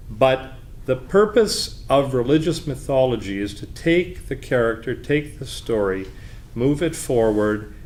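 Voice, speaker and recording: Man giving a deliberate debate speech, noisy room. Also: medium pitch. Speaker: debater, leader of the opposition. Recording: background noise, live room.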